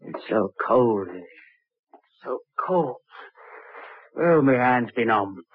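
An actor playing a poor, whiskey-craving body seller turned murderer in an old radio drama, muttering in short, repeated phrases. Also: male voice, medium pitch, lively intonation, very slow, slight background noise. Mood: weary, brooding. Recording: muffled, phone-like vintage radio recording.

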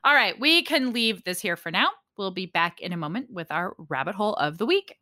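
A woman's voice: mid-range voice, expressive, a little fast. A woman hosting a casual sports chat, giggly and easily amused. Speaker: sports podcast host.

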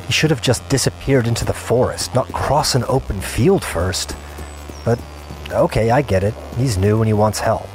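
A man's voice: medium pitch, normal speed, a little mellow, a little hiss or hum, lightly acted, poor audio. A young man playing a seasoned gamer, narrating his adventure in the first person in a conversational audio drama style. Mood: tense narration. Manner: conversational, reflective. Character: wary, seasoned male gamer recounting his virtual adventure. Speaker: narrator and lead character.

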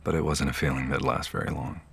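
A man's voice: extremely low pitch, steady tone, fast talker, vocal fry, a bit bright, slight background noise, exaggerated voice. A man acting as a frank young narrator who tells his own story casually in an audio drama, with some swearing. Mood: frank, reflective. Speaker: narrator and main character.

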